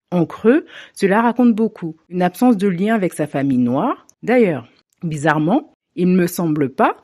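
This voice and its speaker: Measured woman with a mellow voice. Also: lowish voice. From Africa. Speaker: commentator. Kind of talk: scripted opinion monologue.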